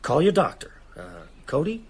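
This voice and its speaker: An actor playing an earnest, level-headed writer with a decisive manner in a radio drama. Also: male voice, fairly high pitch, sing-song, normal speed, slightly rough, exaggerated voice. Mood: urgent, commanding. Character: earnest, level-headed male writer, quietly taking charge.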